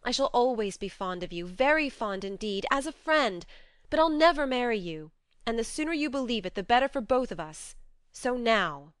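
Woman voicing an earnest, clear-voiced young woman. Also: a little high, expressive, normal speed, no room sound, clean studio sound, pitched above the performer's own voice, natural voice. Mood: losing patience. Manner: earnest, imploring, firm. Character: frank, tender but resolute young woman refusing a suitor. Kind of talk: audiobook character voice.

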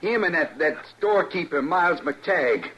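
A greedy American frontier schemer with a bright, sharp voice. He whines and blusters with lively swings of pitch, in radio western style, through a muffled old broadcast. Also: normal speed, slight background noise. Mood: indignant. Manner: blustering, whining, rustic.

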